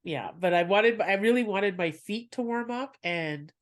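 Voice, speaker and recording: A woman's voice: mid-range voice, lively intonation, unhurried. A woman hosting a podcast, chatting casually with a guest, laughing often and rambling through asides. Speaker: podcast host and comedian.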